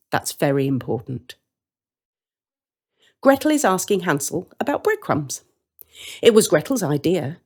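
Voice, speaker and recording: Low-pitched, animated woman storyteller. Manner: wry, direct address to the listener. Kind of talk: scripted story narration.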